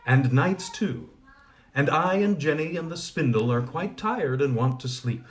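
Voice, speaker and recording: A man voicing an old blind woman who spins wool, speaking in a brisk, instructing way. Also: fairly high pitch, lively intonation. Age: older.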